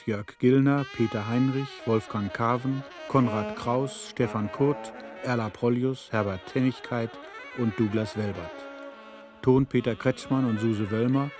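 A man working as a radio announcer, reading scripted drama credits and story recaps. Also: medium pitch. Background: music.

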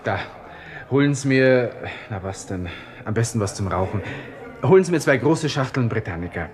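An actor playing a brooding, self-pitying young army lieutenant with a Viennese accent, musing and halting in a radio play monologue. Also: male voice, lively intonation, a little mellow, close to an everyday voice. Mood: crisp commanding. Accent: Austrian, Viennese. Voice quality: low-pitched. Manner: musing, halting, talking to himself.

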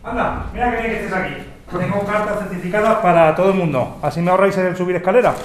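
An amateur actor plays a brisk, breezy postman in a radio soap. He is a high-pitched man with a Spanish accent, recorded in an echoey room. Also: fairly even intonation, lightly acted. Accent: Spanish, from Spain. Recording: echoey room with faint noise.

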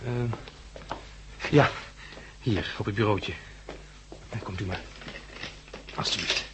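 An actor playing a shaken but helpful hospital patient in a 1960s radio drama, hesitant and urgent. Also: male voice, fairly low pitch, slow pace. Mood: anxious, steadying. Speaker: lead actor and narrator. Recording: 1969 radio recording, faint noise.

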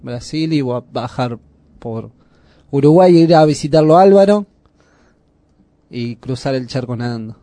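Argentine radio host, soft mellow voice. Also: male voice, mid-range voice, lively intonation, a little slow, long pauses, dry recording. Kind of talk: casual radio chat. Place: radio studio. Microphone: studio microphone.

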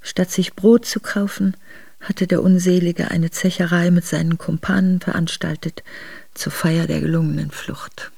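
An actress playing an earnest, reflective exiled noblewoman, narrating her memoir in a measured way for a radio drama. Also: lowish voice, little pitch movement, vocal fry, dark tone, husky, no room sound. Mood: grave, reflective. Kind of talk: literary first-person radio drama narration.

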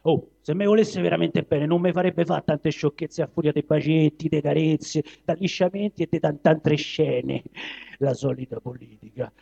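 Man voicing a proud caged lion, gravelly Roman grumbler. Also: a little high, moderate pace, dark tone, exaggerated voice. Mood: aggrieved. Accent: Roman Italian dialect. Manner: theatrical, declamatory, grumbling. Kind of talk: dramatic dialect verse recital. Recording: dull lo-fi live recording.

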